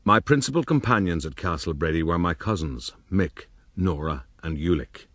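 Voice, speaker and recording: An actor playing a pompous, wry Irish gentleman rogue narrating his life in a radio drama. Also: low-pitched, expressive, normal speed, no echo. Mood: self-satisfied.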